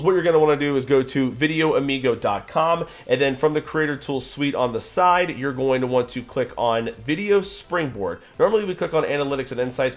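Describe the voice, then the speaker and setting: Upbeat man with a sick nasal voice. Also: medium pitch. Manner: upbeat, chatty, casual fillers. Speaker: tutorial presenter. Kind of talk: screen-recorded software tutorial.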